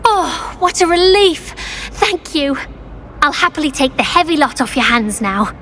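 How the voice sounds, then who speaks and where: A high-pitched, courteous British schoolgirl, studious and earnest as a young video game witch. Her speech is well-spoken, with a little background noise. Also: big pitch swings, unhurried, bright, forceful tone, heavily acted. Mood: relieved. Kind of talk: video game cutscene acting. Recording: faint background ambient noise.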